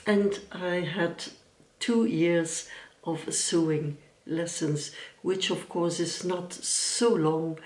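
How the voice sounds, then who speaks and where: A woman's voice: on the low side, darkish tone. A middle-aged woman from Belgium, whose first language is Dutch, hosting a casual sewing vlog in careful English with frequent ums. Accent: Dutch-speaking Belgian (Flemish). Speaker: YouTube sewing and knitting host. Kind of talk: casual vlog talk to camera. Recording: roomy echo from some distance.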